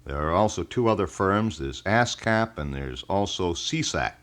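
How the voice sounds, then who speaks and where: A man's voice: low-pitched, lively intonation, unhurried, fairly bright tone. A man with an American accent hosting a local radio broadcast in a formal, wordy, old-fashioned announcer style. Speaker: radio talk show host. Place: radio studio.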